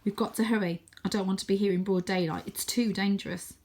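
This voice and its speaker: A woman voicing a tough, proud, guarded young female street cat in a storybook, gruff and dismissive. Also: mid-range voice, steady tone, fast, a little mellow, natural voice. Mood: dismissive, brisk.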